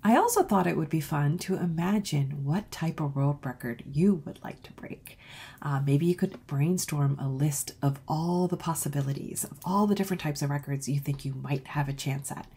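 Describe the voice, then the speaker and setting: Female children's author for read-aloud: low, lightly husky voice, expressive, talks directly to kids. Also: moderate pace. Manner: expressive, addressing young listeners. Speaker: author reading their own book.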